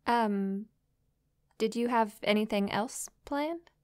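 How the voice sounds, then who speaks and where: A young succubus acted by a woman speaks softly and slowly in an intimate roleplay drama. Her voice is smooth and a little high. She comes across as sweet, curious and playful, as if new to the human world. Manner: soft, playful, sweetly inquisitive. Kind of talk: intimate roleplay audio drama, addressed to the listener.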